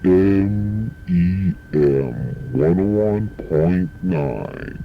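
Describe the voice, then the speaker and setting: A man's voice: sits low, very animated, very slow, gravelly, slight background noise. A man delivering scripted station identifications as a radio announcer, recorded from the radio onto cassette. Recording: dull radio cassette, loud music.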